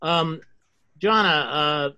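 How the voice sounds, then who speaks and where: A man's voice: a little high, drawn-out words, pushed and bright, clear, resonant tone. A man hosting a podcast, asking guests questions in a conversational interviewing style. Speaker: podcast host.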